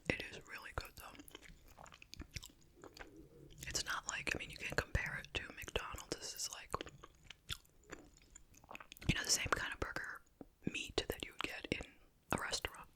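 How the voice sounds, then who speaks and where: An ASMR host speaking entirely in a breathy, unvoiced whisper, chatting casually and conversationally with little asides. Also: normal speed, long pauses. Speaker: ASMR video creator and host. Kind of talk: whispered ASMR chit chat.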